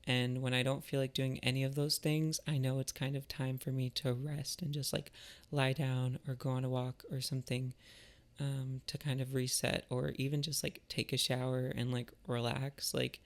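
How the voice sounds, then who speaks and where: Guy w gravelly fry voice, kinda flat tone, thinking out loud casually, bit halting and reflective. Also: mid-range voice. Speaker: artist and musician vlogger.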